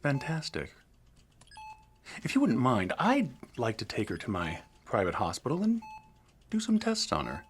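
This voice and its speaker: An actor playing a cool, politely controlling private hospital doctor in an audio drama, speaking clinically. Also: male voice, mid-range voice, huge pitch swings, measured pace, long pauses. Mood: coolly businesslike. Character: smooth, cold male doctor running private hospital. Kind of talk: scripted audio drama acting.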